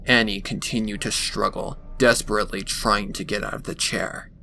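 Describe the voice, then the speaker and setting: A man's voice: fairly low pitch, fairly even intonation, moderate pace, gravelly, pushed and bright. A man narrating a scripted horror story in a dramatic storytelling style. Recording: faint background noise.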